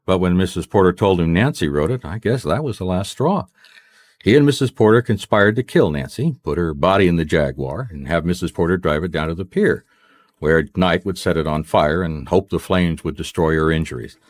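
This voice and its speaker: An actor playing a shrewd, dogged private detective in a radio mystery, questioning people in a wry, seemingly casual way. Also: male voice, low voice, a little fast, dry recording. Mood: accusing, wry. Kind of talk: radio mystery drama acting. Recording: noisy radio drama recording.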